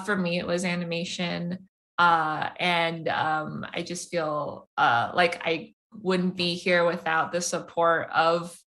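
A young woman, an animation filmmaker, talks through her answers with frequent restarts and searching pauses. Her voice sits a little low for a woman, and it is bright and forceful. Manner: halting, searching for words with many restarts. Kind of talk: casual interview answers.

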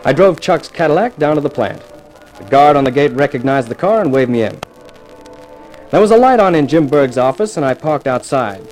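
An actor playing a cool, cocky hard-boiled sleuth in a 1950s radio crime drama, wry and taunting. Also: male voice, on the high side, animated, moderate pace, darkish tone, faint music behind, natural voice. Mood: narrating, wry. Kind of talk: 1950s radio drama acting and first-person narration. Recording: noisy old 1950s radio recording.

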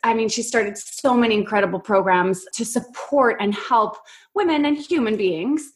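A woman's voice: on the high side, normal speed. A woman hosting a podcast interview, bubbly and playfully teasing her guest. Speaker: podcast host.

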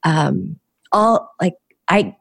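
A woman from the Chicago area, whose first language was Korean, telling her life story as a podcast guest in a measured, reflective way with pauses and restarts. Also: fairly low pitch, animated, creaky, husky, no room sound, clean studio sound. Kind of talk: personal storytelling in a podcast interview.

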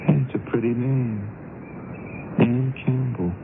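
An actor in a 1970s radio drama playing an easygoing, smitten young motorcyclist who talks in a casual, halting, colloquial way. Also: male voice, medium pitch, steady tone. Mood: smitten, teasing. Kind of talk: 1970s radio drama acting, dialogue and narration. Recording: noisy phone-like old radio broadcast.

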